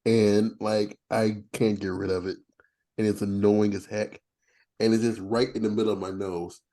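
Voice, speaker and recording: A man's voice: lowish voice, little pitch movement, moderate pace, mellow. A forty-year-old man chatting casually on a podcast, rambling and poking fun at himself. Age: 40s. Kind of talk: casual chat.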